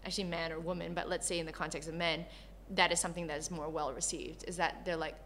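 Woman chatting candidly as a guest, bright clear-cut voice, tells stuff in an animated way. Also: lowish voice, quick pace. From born in Malaysia, grew up in Canada. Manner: animated storyteller, acts out quoted dialogue. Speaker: interview guest. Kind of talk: candid casual conversation.

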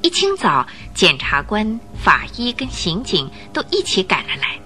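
A woman's voice: medium pitch, huge pitch swings, normal speed, pushed and bright, faint music behind. A woman narrating a radio drama as scripted, dramatic storytelling.

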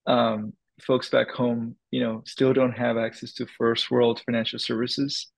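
A man's voice: mid-range voice, level tone, unhurried, vocal fry, dry recording. A man from the Dominican Republic, a crypto startup founder, answering interview questions in a thoughtful way with many fillers and restarts.

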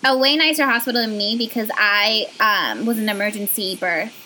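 A woman's voice: medium pitch, moderate pace, pushed and bright, a little hiss or hum, rough recording. A woman from Trinidad and Tobago with a Trinidadian accent, hosting a podcast in bubbly casual chat and laughing often. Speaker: podcast host. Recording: music bed behind voice.

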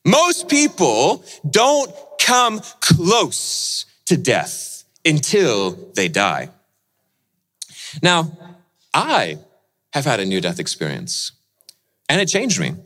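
A man preaching as he tells a dramatic personal story, swinging between lively and hushed delivery. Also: on the high side, slow, long pauses. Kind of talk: sermon storytelling.

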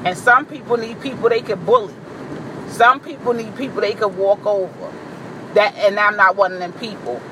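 A woman with a deep voice giving a blunt, profane, boisterous vlog monologue, recorded in a car. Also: steady tone, normal speed, noisy background. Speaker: vlogger. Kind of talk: candid monologue to camera.

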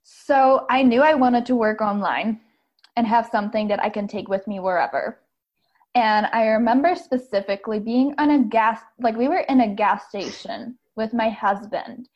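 A woman's voice: a little high, normal speed, a little mellow. Bubbly woman from the Czech Republic. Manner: bubbly, laughs often, uses like a lot. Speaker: guest, coach. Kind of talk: casual interview chat. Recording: muffled lo-fi audio.

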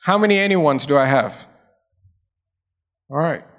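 High-voiced preaching man. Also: expressive, a little slow. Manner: preaching with rising emphasis and repetition. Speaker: pastor. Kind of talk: sermon.

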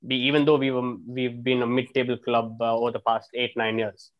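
A man's voice: medium pitch, a little fast, muffled recording, a crowd in the background. A man, a football fan, arguing his side in a casual podcast chat, restarting sentences often and swearing casually. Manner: animated, frequent restarts and fillers, swears casually.

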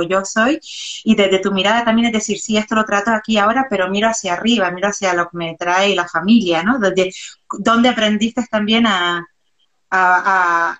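Woman host asking a long question, halting, stream audio kinda dull. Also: mid-range voice, a bit bright. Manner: hesitant, halting. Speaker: interviewer. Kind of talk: live interview question. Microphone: video call.

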